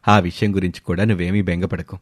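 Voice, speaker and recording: A man voicing a supportive young husband in a story, gentle and reassuring. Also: low-pitched, little pitch movement, fast talker, husky, pitched below the performer's own voice.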